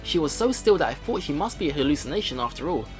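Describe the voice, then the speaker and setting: A man plays through a story game for his viewers, reading it aloud with drama and chatting casually between passages. His voice is on the higher side and swings widely in pitch, with faint music behind it. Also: very animated, fast. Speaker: let's play video host.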